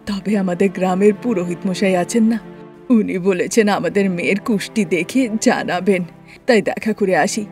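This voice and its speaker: A woman voicing a gentle, worried young village father with an earnest, homely manner in a cartoon. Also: medium pitch, moderate pace, faint music behind, pitched below the performer's own voice, lightly acted. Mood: caring.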